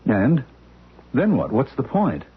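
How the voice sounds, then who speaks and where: A skeptical, incredulous man in an old radio drama, his husky voice leaping up and down in pitch as he questions everything. The sound is narrow and phone-like, as from an old broadcast. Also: wildly swooping pitch, slow pace, heavily acted. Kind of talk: 1970s radio drama acting.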